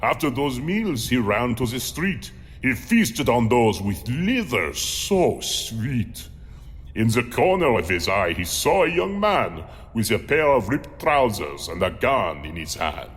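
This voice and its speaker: A man playing a monstrous man-eater, reciting a grim rhyming memoir in a slow, theatrical, deliberate manner with long reverb. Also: medium pitch, very animated, fairly bright tone. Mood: grim relish. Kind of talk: theatrical rhymed storytelling.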